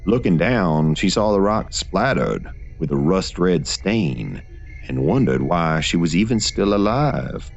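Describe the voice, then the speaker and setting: A man reading scripted gothic horror fiction as narrator, in a dramatic, deliberate storytelling manner with weighted pauses. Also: low-pitched, lively intonation, gravelly, highs cut off, a little hiss or hum.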